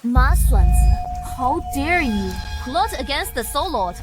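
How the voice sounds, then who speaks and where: A woman's voice: high voice, very animated, slow pace, music in the background, full character voice, poor audio. A woman playing a fierce, protective young ghost leader in an anime dub, commanding and indignant. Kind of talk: dubbed anime drama acting.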